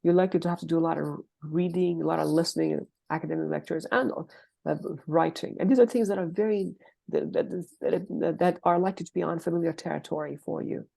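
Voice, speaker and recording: A woman's voice: deep voice, expressive, quick pace, gravelly, mellow. A woman professor giving explanatory interview answers, careful and hesitant, often restarting and rephrasing her words. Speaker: linguistics professor, interview guest.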